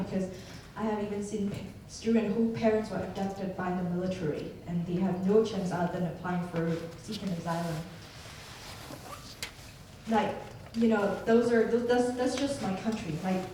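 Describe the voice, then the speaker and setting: Halting young Burmese woman, echoey hall. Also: mid-range voice, darkish tone. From Myanmar. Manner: hesitant, halting, many fillers. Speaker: student asking a question. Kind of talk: audience question at a summit. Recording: echoey hall from far off, faint noise.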